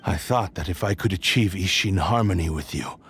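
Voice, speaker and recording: A man's voice: deep voice, slight background noise, close to an everyday voice. A man voicing an ancient, sorrowful sword spirit. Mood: weary grief. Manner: slow, weary and grave, sighing.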